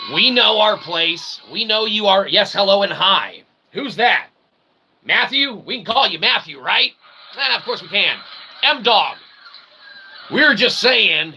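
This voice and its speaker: A man's voice: a little high, gravelly, pushed and bright, slightly rough, low-quality recording. A man hosting a web show in a rambling, casual, self-deprecating monologue, folksy and full of tag questions.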